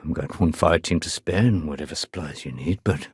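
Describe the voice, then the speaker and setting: A man's voice: a bass voice, brisk, darkish tone, husky, no echo, studio quality, full character voice. A man voicing a dutiful, weary security sergeant in a sci-fi video game, speaking in a clipped, businesslike but conversational way. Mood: weary, urgent. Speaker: security officer. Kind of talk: video game dialogue acting.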